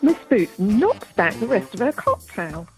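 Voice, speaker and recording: A woman narrates scripted stage directions for a radio drama. Her pitch is middling, with faint music around it. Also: sing-song, unhurried.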